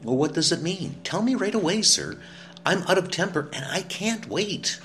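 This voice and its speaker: A man's voice: medium pitch, very animated, moderate pace, a bit bright, slight background noise, voice pushed up. Man putting on Naomi, a spirited young woman. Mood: impatient.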